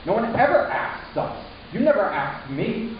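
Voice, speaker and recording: A man playing a troubled, resentful human youth in a dramatic first-person story reading, halting and intense with deliberate pauses. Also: high voice, big pitch swings. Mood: guarded, resentful. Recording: distant, very echoey room, muffled, hissy.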